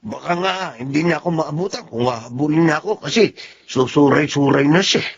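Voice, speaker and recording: A man's voice: a little high, brisk, vocal fry. A man acting a small, caring dwarf father, meek but stubborn, in a Filipino radio drama. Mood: placating, defensive. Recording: dull, muffled audio.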